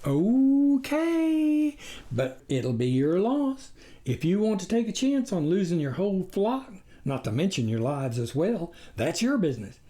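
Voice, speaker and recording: A narrator voicing a smooth-talking, wily coyote posing as an official, over-polite and wheedling, with a baying, yapping quality. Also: mid-range voice, big pitch swings, a little slow, mellow, pitched above the performer's own voice. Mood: persuasive. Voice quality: baying, yapping, at times near howling. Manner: over-polite, wheedling, indignant, repeats words.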